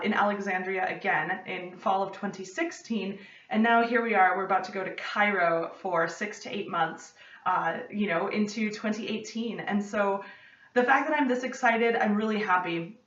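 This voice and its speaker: Young american woman chatting to cam, super excitable, wanders off topic, echoey room, bit muffled. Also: mid-range voice, normal speed. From United States. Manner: excitable, gestures a lot, rambling asides. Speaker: podcast host. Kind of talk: casual chat to camera. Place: home study with bookshelves. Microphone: camera at desk distance. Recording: roomy, slightly distant, dull lo-fi.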